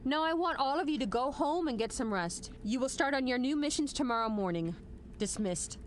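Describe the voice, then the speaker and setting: Woman acting as a commanding, high-voiced female general. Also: animated, a little fast, a little hiss or hum. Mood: firm. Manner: formal, authoritative briefing delivery. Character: stern, commanding female military general, measured and dryly wry. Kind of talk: scripted audio drama acting.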